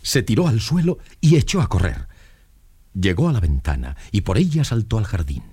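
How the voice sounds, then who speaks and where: A man's voice: fairly low pitch, sing-song, moderate pace, warm tone, slightly rough. A man narrating a children's story in a scripted storytelling style. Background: light music.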